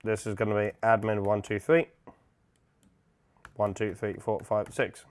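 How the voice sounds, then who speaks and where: A man's voice: on the low side, flat delivery, a little slow, clear, resonant tone. Male tutorial presenter, methodical and informal, explains each step plainly. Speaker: instructor, product demonstrator. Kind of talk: software setup tutorial, step-by-step walkthrough.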